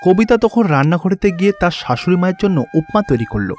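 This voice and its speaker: A man's voice: sits high, big pitch swings, fast, darkish tone. A man reading scripted narration for a cartoon fairy tale as its storyteller. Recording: background music under the voice.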